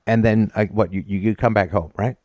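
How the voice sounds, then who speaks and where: A man's voice: fairly low pitch, steady tone, a little fast, darkish tone, no room sound, dull audio. A man raised in Britain hosting a call-in advice show, talking at length and tagging his points with "right?". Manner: talkative, storytelling, frequent "right?" tags.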